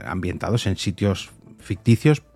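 Low-voiced man from a Spanish podcast interview. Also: lively intonation, a little slow, gravelly, a little mellow, slightly rough. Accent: Spain. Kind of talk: conversational interview.

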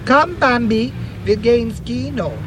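A man's voice: very high voice, a little slow, noisy background. An actor playing a campy, exclamatory New York drag queen in a radio drama. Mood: breezy, teasing. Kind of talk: 1980s German radio drama acting.

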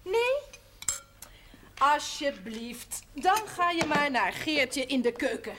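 A practical, bossy woman of the house in an old TV drama. Her fairly high voice swings in pitch as she gives brisk instructions over clear noise. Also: big pitch swings, full character voice. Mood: brisk, exasperated. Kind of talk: Dutch television period drama acting. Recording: noisy old TV broadcast audio.

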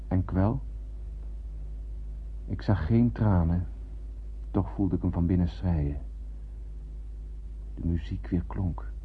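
Een acteur die in een hoorspel een ernstig, bezorgd bemanningslid van een ruimteschip speelt en plechtig, poëtisch vertelt. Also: male voice, lowish voice. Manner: solemn, deliberate, poetic. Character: grave, troubled male spaceship crewman narrating his tale. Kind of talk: literary radio drama narration. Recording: dull old radio-play recording, faint hiss.

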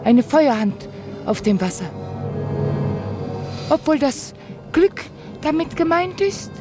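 A fragile, visionary woman speaks very slowly in a slightly high voice, with music behind her. She is fearful and obsessive in a dreamy, questioning radio drama manner. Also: very animated, full character voice. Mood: anxious, transfixed. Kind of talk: literary radio drama acting.

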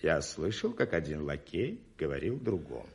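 An actor playing a stiff, easily offended English lord in a radio play, formal and dignified. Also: male voice, extremely low pitch, huge pitch swings, normal speed, vocal fry, breathy edge, full character voice. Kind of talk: 1980s Soviet radio play acting.

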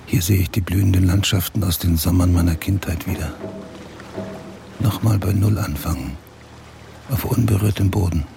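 An actor playing a grieving widowed father of about forty in a radio drama monologue, speaking in terse, measured, brooding phrases. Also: low-pitched, steady tone, gravelly. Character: grieving widowed father looking back on a fresh start. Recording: music laid loudly under the voice. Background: music.

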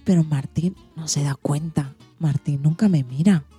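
Woman playing a dreamy, lovestruck little girl, softly muffled. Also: low voice, moderate pace, husky, faint music behind. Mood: lovestruck, wistful. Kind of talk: radio play of a children's picture book.

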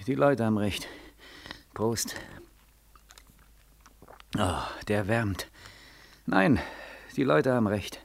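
An actor playing a bitter, tormented young soldier back from war in an old radio play, pressing questions insistently and repetitively. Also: male voice, fairly low pitch, slow, vocal fry, long pauses. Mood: bitter, drunken. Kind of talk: 1940s radio drama acting. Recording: 1940s radio recording.

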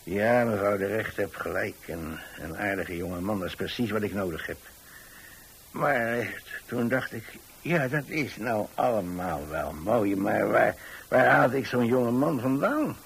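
An old Dutch pensioner in a radio monologue. His low voice is creaky and husky, and he rambles along in a mild, confiding, hesitant way. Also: lively intonation, a little slow, heavily acted. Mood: pleased, musing. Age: 60s or older.

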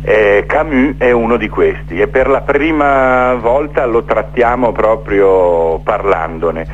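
A man's voice: lowish voice, slow pace. A middle-aged man speaks reflectively as a radio interview guest, telling stories and building rhythmic lists. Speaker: theatre maker interviewed as guest. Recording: dull, noisy phone-in with hum.

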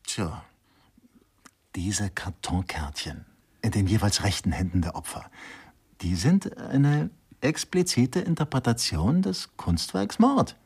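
A man's voice: on the low side, animated, slow, darkish tone, breathy edge, exaggerated voice. An actor playing a pompous man in a radio play who explains things pedantically, as if lecturing on art. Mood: pedantic. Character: pompous man expounding murder as a work of art. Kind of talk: German radio play dialogue.

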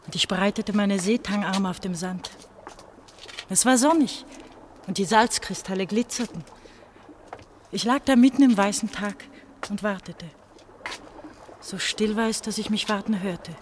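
A frightened, worn-out young woman on the run played by an actress in a radio play. Her medium-pitched voice is fragile, dreamy and intense, over slight noise. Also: a little slow, long pauses, natural voice. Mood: dreamy, sad. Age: young adult. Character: exhausted, frightened young woman fleeing to the West. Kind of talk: German radio drama acting.

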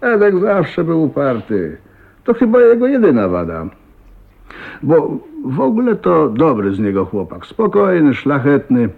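Garrulous husky old father, swooping pitch. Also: huge pitch swings, unhurried, full character voice. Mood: wistful, reminiscing. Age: older. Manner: garrulous, folksy, blunt, with chuckles and exclamations. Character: talkative, blunt, jovial old self-made millionaire father. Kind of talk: radio drama acting. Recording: muffled thin narrow-band radio recording.

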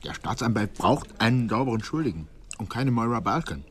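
An actor playing a laconic police investigator, resigned and drily wry, in a crime radio drama. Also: male voice, medium pitch, expressive, brisk, slight background noise, lightly acted. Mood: resigned, dry.

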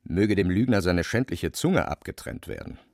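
A man narrating a literary radio play as a storyteller, expressive, theatrical and deliberate, with a gravelly voice. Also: deep voice, dry recording, studio quality. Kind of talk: dramatic audiobook-style storytelling for radio play.

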